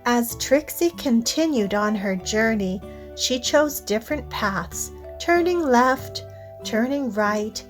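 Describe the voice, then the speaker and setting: A woman reads a picture book aloud for children. Her voice is fairly high and very unhurried, deliberate as she speaks to young listeners, with soft music behind her. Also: lively intonation. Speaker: children's storybook reader. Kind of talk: scripted read-aloud narration for children.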